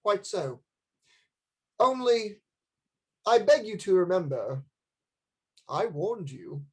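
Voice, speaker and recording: A grave lord in a radio drama. The voice is husky and widely inflected, with courteous, stately phrasing and a formal manner. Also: male voice, sits high, big pitch swings, slow pace, full character voice. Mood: solemn warning. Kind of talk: radio play acting.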